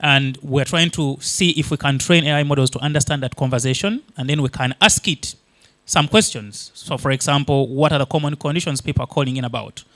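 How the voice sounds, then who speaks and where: A man's voice: medium pitch, a little fast, fairly bright tone, no room sound. A man from Uganda whose first language is Luganda, giving a conference talk and explaining things step by step with many fillers and restarts. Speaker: conference presenter.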